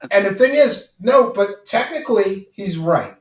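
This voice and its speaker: A man talks casually on a podcast panel from a huge room, set back from the mic. His voice rings with strong room reverb, a bit higher than most men's. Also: animated, unhurried, a crowd in the background. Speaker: podcast guest. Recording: roomy reverb, distant from mic.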